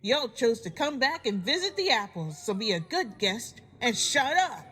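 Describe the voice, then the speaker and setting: A woman's voice: on the high side, sing-song, moderate pace, a bit bright, a little hiss or hum. A woman voicing a sadistic farm pony villain in a fan audio drama, taunting with folksy mock politeness. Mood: cruel, contemptuous.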